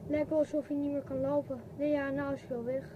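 Radio play: woman as inquisitive young child, high voice, wondering, noisy background. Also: flat delivery, quick pace, highs cut off, lightly acted. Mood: eager. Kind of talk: Dutch radio play acting. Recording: clear vehicle-like background noise.

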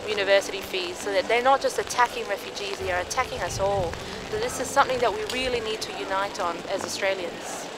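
A woman's voice: mid-range voice, heavy background noise. A young woman speaking earnestly in an outdoor interview at a night rally, with music in the background. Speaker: protester interviewed. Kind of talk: documentary interview.

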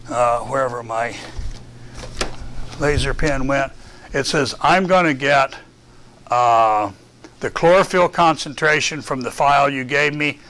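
Bright-voiced Texan man teaching a lab. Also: mid-range voice. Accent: Southern US, Texan. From Texas. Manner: casual, self-deprecating, thinks aloud with fillers. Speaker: lecturer. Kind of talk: software lab walkthrough. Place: dark lecture room with projector screen.

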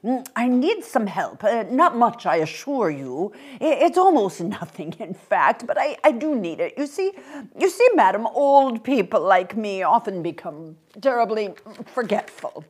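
A woman voicing a very old, well-spoken gentleman in an audiobook, courteous and pleading, with a hesitant, flustered delivery. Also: mid-range voice, sing-song, a little slow, breathy edge, pitched above the performer's own voice. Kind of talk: audiobook character voice.

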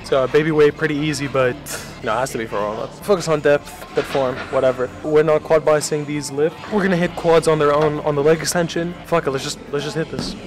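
Young guy talking to his camera mic, rambling, swears a lot, bit high voice, some music under it. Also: quick pace. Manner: rambling, swears casually, gets loud and excited. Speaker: vlogger. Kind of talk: casual gym vlog talk.

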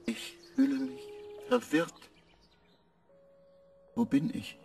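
An actor playing a confused male spacecraft AI who gives short flat answers and drifts into eerie visions, in a radio play. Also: extremely high pitch, slow, vocal fry, a little hiss or hum, exaggerated voice.

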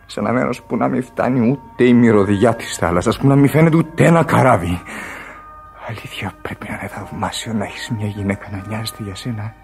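An actor playing a weary, feverish dying sailor in a Greek radio drama, halting and drifting toward whispers, full of regret. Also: male voice, lowish voice. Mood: wistful, bitter. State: weak, in pain. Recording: radio play with music underneath. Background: music.

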